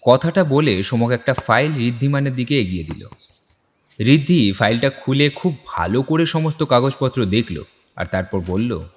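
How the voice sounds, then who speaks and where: A man's voice: medium pitch, lively intonation, moderate pace. Reverberant male story narrator. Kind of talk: scripted audio story narration. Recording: long hall-like reverb.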